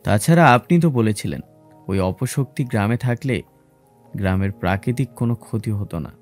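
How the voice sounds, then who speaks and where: A man's voice: fairly low pitch, expressive, normal speed, darkish tone, faint music behind, close to an everyday voice. An actor playing a thoughtful man who listens to a sage's tales, reasoning plainly in an audio story.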